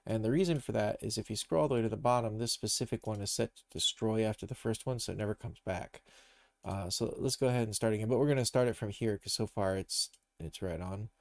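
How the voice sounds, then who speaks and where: A man with a creaky, gravelly voice hosts an informal tutorial stream. He thinks aloud, explaining step by step in a casual way. The sound is dry and close. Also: lowish voice, fast talker, no echo. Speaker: livestream host, game developer tutor. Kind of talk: casual live software tutorial.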